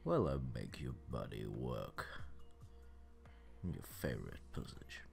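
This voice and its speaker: A man voicing a seductive, domineering incubus demon in an ASMR roleplay, teasing in an intimate, breathy murmur that often drops to a whisper. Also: extremely low pitch, sing-song, a little mellow, faint music behind, heavily acted. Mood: commanding, teasing. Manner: slow, teasing, commanding.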